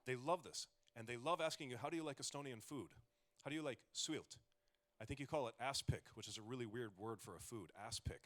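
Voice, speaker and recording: A man's voice: mid-range voice, a little fast, fairly bright tone. A man in his forties, a comedian giving a casual talk with joking asides and rambling restarts. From United States. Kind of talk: conversational stage talk.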